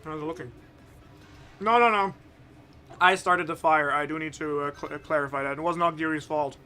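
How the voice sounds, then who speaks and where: A man chatting casually as a guest on a cooking livestream, easygoing and quick to laugh. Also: fairly high pitch, sharp tone, faint music behind.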